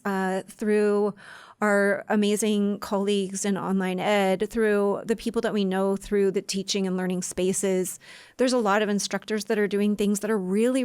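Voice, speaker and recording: A woman's voice: medium pitch, smooth, no room sound. A woman co-hosting a casual podcast, upbeat and organized as she talks eagerly. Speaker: podcast co-host.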